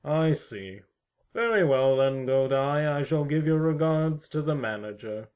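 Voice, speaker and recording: A man's voice: fairly high pitch, lively intonation, a little slow, darkish tone, smooth. A man playing a courteous, mysterious tenant in a fan audio drama, stiffly formal and wordy.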